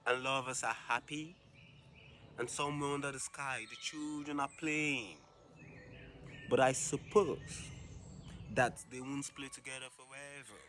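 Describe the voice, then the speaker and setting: Slow, solemn man in dramatic monologue. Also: medium pitch, a bit bright. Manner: solemn, deliberate, with long dramatic pauses. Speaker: monologue performer. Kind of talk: dramatic reflective spoken-word monologue.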